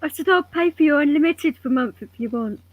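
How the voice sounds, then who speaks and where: A woman's voice: high voice, expressive, fast, no echo, low-quality recording. A woman with a mixed accent chatting casually on a live stream.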